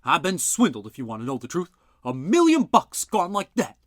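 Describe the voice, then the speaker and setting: A man voicing a gruff, blustering older male inventor who talks loud and boastful. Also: on the high side, huge pitch swings, normal speed, no room sound, studio quality, pitched above the performer's own voice.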